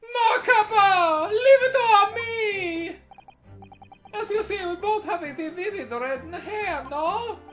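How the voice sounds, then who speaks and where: A woman voicing an excitable, theatrical young Italian nobleman con man with a put-on Italian accent. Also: slow pace, soft background music, exaggerated voice. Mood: tearful, boastful. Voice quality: high, loud, sing-song. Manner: theatrical, exclamatory, flattering.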